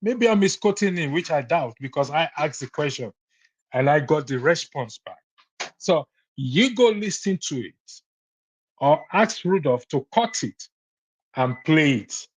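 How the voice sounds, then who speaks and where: A man's voice: fairly high pitch, animated, measured pace, husky, rough recording. A Nigerian man on a call-in broadcast, firmly insisting that his point is on record. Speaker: caller on a live broadcast. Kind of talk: heated call-in debate.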